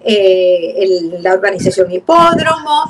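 A woman's voice: medium pitch, sing-song, unhurried, heavy background noise. A woman in her seventies with a Puerto Rican accent, a guest recalling family history at an easy pace over a video call. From Puerto Rico. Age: 70s. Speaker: guest, actress. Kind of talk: interview.

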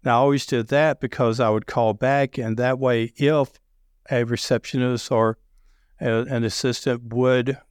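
A middle-aged man with a deep Southern accent, talking loudly and telling sales stories with many restarts. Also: measured pace, clear, resonant tone, no echo, studio quality. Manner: loud, animated storyteller with frequent restarts. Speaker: sales podcast guest. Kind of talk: casual conversation.

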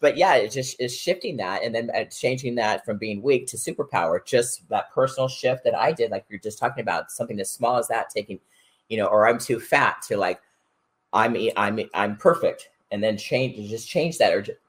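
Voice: mid-range voice, expressive, breathy edge. A podcast host and author chatting casually, agreeing often and talking through ideas about self-talk. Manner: chatty, agreeing, quick laughs.

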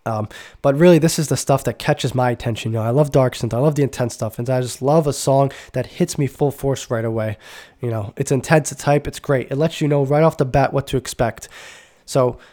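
A man's voice: mid-range voice, brisk, warm tone. A man hosting a casual music podcast, sounding stuffy and nasal from a cold, talking enthusiastically with rambling asides and self-corrections. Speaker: podcast host.

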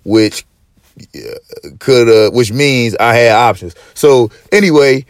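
A man with a slightly raspy, mid-range voice hosts a podcast in loose, profane, joking rants. His pitch swings high when he gets worked up. The sound is dry and close to the mic. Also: slow, no room sound. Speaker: podcast host. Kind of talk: casual chat.

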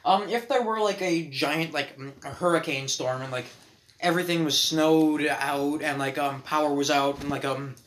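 A man's voice: fairly high pitch, normal speed, a bit bright, roomy sound, slight background noise. A teenage man co-hosting a casual podcast at his house, rambling with many fillers and dry jokes. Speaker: podcast co-host.

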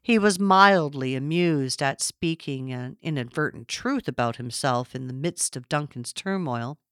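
A woman's voice: very deep voice, animated, unhurried, smooth, no room sound, studio quality. A woman narrating a literary story from a script. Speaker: audiobook narrator.

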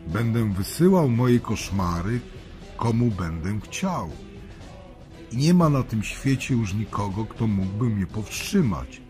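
A man's voice: on the low side, very animated, slow pace, a little mellow, faint music behind. A man playing an arrogant, menacing phantom lord in a children's audio drama, with scornful, theatrical delivery. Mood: scornful, haughty. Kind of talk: children's radio play acting.

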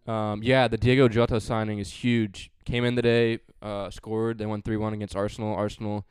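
A man's voice: lowish voice, little pitch movement, moderate pace, a little mellow, smooth, no echo, clean studio sound. A man hosting a casual sports podcast, chatty and joking, with frequent fillers. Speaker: podcast host.

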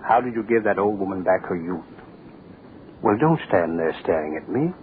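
A man's voice: deep voice, lively intonation, normal speed. A man playing an imperious, menacing state dignitary in an old radio drama, curt, commanding and pompous. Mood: imperious, demanding. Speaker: actor in a radio play. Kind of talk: 1940s radio horror acting. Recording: tinny old radio recording, faint noise.

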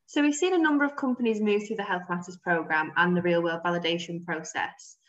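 A woman's voice: fairly low pitch, fairly quick, fairly bright tone. A woman hosting a podcast, asking encouraging interview questions. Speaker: podcast host. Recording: slightly dull recording.

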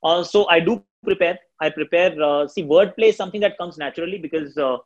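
Shrill-voiced Indian man talking fast. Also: muffled recording. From Delhi, India. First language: Hindi. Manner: fast, energetic talker. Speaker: cricket commentator. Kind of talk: interview answers.

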